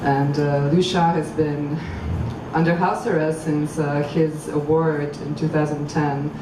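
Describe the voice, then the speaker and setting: A woman from Croatia reading poems at a public event, halting and thoughtful between poems and measured while reading. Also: deep voice, level tone. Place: outdoor public venue. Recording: echoey, far from mic, street noise.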